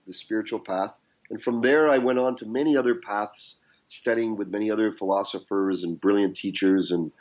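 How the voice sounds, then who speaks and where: A man's voice: on the low side, a little mellow, highs cut off. A man with a Canadian accent, a guest telling his life story in an earnest, reflective way. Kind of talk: podcast interview.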